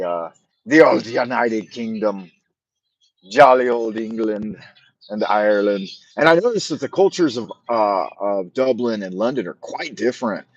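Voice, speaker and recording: A man's voice: fairly low pitch, muffled recording. A middle-aged man chatting on a casual live stream, rambling with frequent restarts and repeated words, and speaking bluntly. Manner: blunt, swears freely. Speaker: livestream host.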